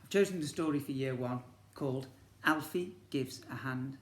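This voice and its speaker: A man's voice: mid-range voice, normal speed, a little hiss or hum. A man, a teacher reading a children's story expressively and breaking off to ask his young listeners questions. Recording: echoey room.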